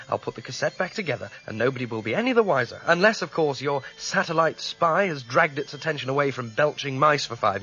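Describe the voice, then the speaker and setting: An actor playing a curious, stubborn citizen with a British accent in a 1970s radio drama, dry and dismissive. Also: male voice, on the high side, sharp tone. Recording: dull radio recording, faint music.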